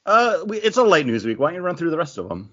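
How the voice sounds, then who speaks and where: A man's voice: on the high side, big pitch swings, quick pace, a bit bright, muffled recording. A man co-hosting a podcast, chatting casually about geek news. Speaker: podcast co-host.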